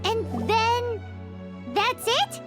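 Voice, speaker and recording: An actress voicing a tiny, chirpy fairy girl companion in a video game, excitable and full of exclamations. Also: drawn-out words, full character voice. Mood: excited. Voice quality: squeaky, very high. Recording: game music underneath.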